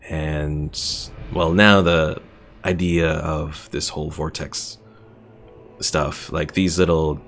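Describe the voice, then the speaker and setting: A man streaming a strategy game, chatting casually, rambling about the lore and reading viewers' messages aloud. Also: extremely low pitch. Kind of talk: casual gameplay commentary.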